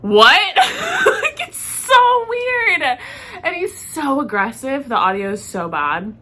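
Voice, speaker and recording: A woman's voice: high voice, sing-song, slow pace, sharp tone, slight background noise. A woman with a cold and a stuffy voice, co-hosting a podcast in casual chat, laughing often and saying "like" a lot. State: congested from a cold. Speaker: podcast co-host. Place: dim office lobby, on a couch. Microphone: camera and lights set up.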